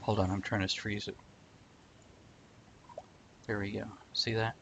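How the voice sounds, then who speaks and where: A man's voice: on the low side, little pitch movement, measured pace, vocal fry, background noise. A man hosting a livestream with casual, wry, joking banter and informal step-by-step explanations. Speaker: livestream show host. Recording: dull lo-fi livestream audio.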